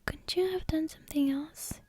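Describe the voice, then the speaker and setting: A woman voicing a witch teacher's skeptical friend from a storybook, gently scolding in a questioning whisper. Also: sits high, steady tone, moderate pace, pitched above the performer's own voice, natural voice. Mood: skeptical, chiding.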